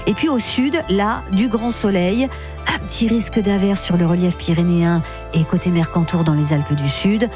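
A woman presenting the weather forecast on the radio, in a brisk, informative way, running through places and temperatures. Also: lowish voice, over music. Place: radio studio.

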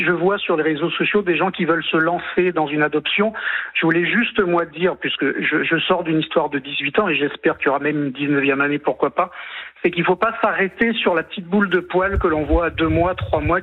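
Over a thin, narrowband phone line, a man in his late fifties speaks in a fairly high voice. He is from Martinique and French Guiana. He tells a personal story on a radio phone-in, earnest and emphatic, often repeating his phrases. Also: fairly quick. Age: late 50s. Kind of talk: personal account on live radio. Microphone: telephone call.

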